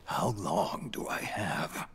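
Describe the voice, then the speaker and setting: A gruff, weary old soldier in a dramatic video game. His extremely deep voice comes out as a gravelly half-whisper. He speaks tersely, like a man worn out. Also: slow, a bit bright. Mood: weary, grim. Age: older. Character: weary, gruff, prematurely aged male soldier.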